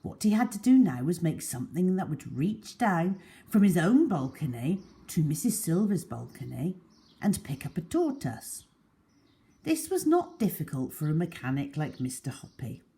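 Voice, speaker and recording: A woman storyteller reading a children's story aloud in an expressive, deliberate and emphatic way. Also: fairly low pitch.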